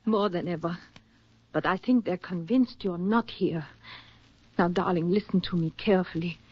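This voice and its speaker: A woman's voice: lowish voice, normal speed, slightly rough, no room sound. A woman acting a devoted, resolute lover in earnest 1940s radio drama style. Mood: tender, soothing. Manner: earnest, insistent, tender. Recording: muffled, noisy vintage 1940s radio recording.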